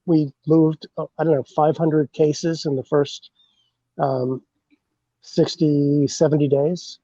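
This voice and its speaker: A man's voice: on the high side, little pitch movement, takes it slowly, mellow, no echo, dull audio. A man from a bourbon brand on a podcast, talking through his marketing plans in a rambling way, with lots of fillers and restarts. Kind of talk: casual podcast chat.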